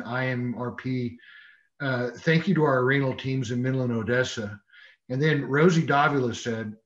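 A man's voice: medium pitch, fairly bright tone. An older man moderating a panel on a video call, friendly and folksy. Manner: friendly, folksy, uses y'all. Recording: dull lo-fi video call audio.

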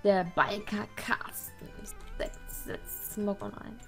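A woman's voice: medium pitch, level tone, slow, vocal fry. A young woman giving playful, giggly, casual commentary as the host of a let's play, chatting with a co-player. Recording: game music behind the voice. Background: video game music.

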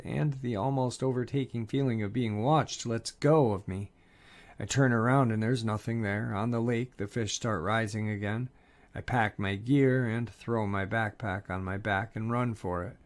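Low-voiced man reading eerie stories. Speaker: narrator reading listener encounter stories. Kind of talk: scripted narration of first-person accounts.

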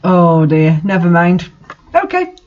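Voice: medium pitch, very animated, slow pace, a little mellow, dull audio. A host reading fan fiction aloud in an amateur dramatic style, with the odd aside.

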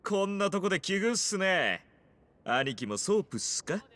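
A man's voice: sits high, sing-song, moderate pace, fairly bright tone. A man playing a cheerful, deferential young yakuza underling in a video game, casual and eager. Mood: pleasantly surprised. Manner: casual, eager junior speech. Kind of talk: video game cutscene acting.

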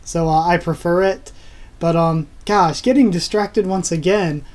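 A man's voice: high-pitched, steady tone, measured pace, a little mellow. A young man in his twenties with a stuffed-up, nasal voice, casually reviewing a manga chapter on camera in a small room, rambling enthusiastically with lots of fillers. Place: small room at home, indoors.

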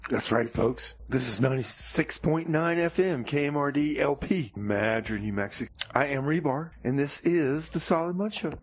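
A man's voice: on the high side, animated, normal speed, hardly any pauses. Folksy, rambling man hosting radio. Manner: folksy, repeats himself, chuckles. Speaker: radio show host. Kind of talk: casual rambling radio DJ chat.

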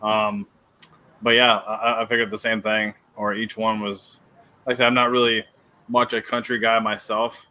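A man's voice: on the low side, little pitch movement, normal speed, bright, forceful tone. A man co-hosting an interview over a video call, talking loosely with lots of fillers and repeated checks on whether he's understood. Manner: loose and filler-heavy, keeps asking "you know what I'm saying". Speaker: co-host interviewer. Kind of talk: casual interview chat. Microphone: webcam on a video call.

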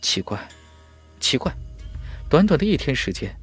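A man's voice: fairly high pitch, sing-song, unhurried, a bit bright, slightly rough, soft background music, voice pushed up, full character voice. A man voicing a determined young cultivator hero in an audiobook, musing with self-possession. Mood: puzzled musing. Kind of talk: audiobook character voice.

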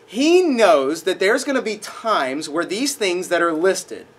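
A young man preaching a sermon in a dark auditorium, a little nasal from a cold, animated and acting out voices in his stories. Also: sits high, takes it slowly. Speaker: pastor. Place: dark auditorium with projected screen.

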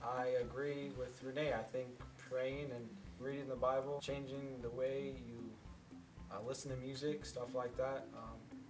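Soft-spoken young man, set back from mic. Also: medium pitch, flat delivery, a little slow, recorded from a distance, music in the background, poor audio. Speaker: panel guest. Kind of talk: casual testimony. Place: small wood-paneled studio room.

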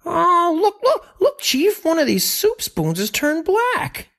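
An actor playing an eager, excitable police sidekick in an old-time radio mystery. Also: male voice, extremely high pitch, slow, vocal fry, heavily acted. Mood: eager, excited. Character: eager young police sidekick Mike, man.

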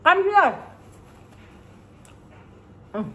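A woman in her thirties from Indonesia, chatting casually through a food review and humming while she eats, filmed in a bright living room by a camera on the table. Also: extremely high pitch, big pitch swings, extremely slow, a little hiss or hum. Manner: chatty, savoring, hums while eating. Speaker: food vlogger. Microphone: camera on table in front.

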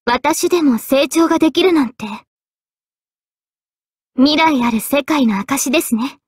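Anime game role: regal young female sword spirit, high-pitched, gentle, measured and polite, very dry studio sound. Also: dry recording. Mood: polite, pleased. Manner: poised, polite, measured delivery. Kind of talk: Japanese anime game voice acting. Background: faint background music.

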